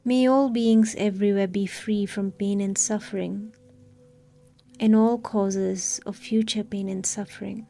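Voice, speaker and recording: Woman, meditation guide, dark mellow tone, calm and soothing, unhurried, faint music behind. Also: medium pitch, creaky.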